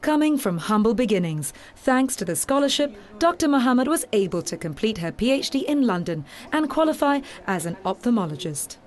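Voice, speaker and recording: A woman giving scripted documentary narration in a measured, formal way. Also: fairly high pitch, sing-song.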